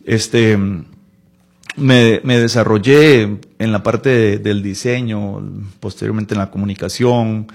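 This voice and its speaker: Costa rican guy in his late 40s, husky low voice, thinks out loud and repeats himself, chatty interview. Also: steady tone. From Costa Rica, Palmares in the western Central Valley. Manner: halting, reflective, repeats words and seeks agreement with tags. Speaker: interview guest, writer and designer. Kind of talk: casual conversational interview.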